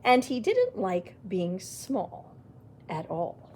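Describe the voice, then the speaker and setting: Female library storyteller, unhurried picture-book read with lots of swoops and small reacting asides. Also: mid-range voice, a little hiss or hum. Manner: expressive, exclaiming little reactions. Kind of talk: reading a picture book aloud to children with asides.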